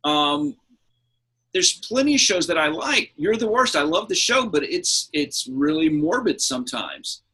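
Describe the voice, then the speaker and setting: A man's voice: on the high side, big pitch swings, a little slow, pushed and bright, breathy edge. A man hosting a casual pop culture podcast, speaking in an emphatic, opinionated way and swearing freely. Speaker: podcast host.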